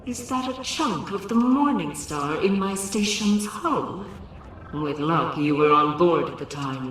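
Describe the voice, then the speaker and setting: A man's voice: high voice, a bit bright, a little hiss or hum, full character voice. An actor playing a troubled, duty-bound male artificial intelligence of a space station in a video game, speaking in formal, clipped announcements with synthetic intercom processing. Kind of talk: video game character acting. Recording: very echoey, distant, music underneath.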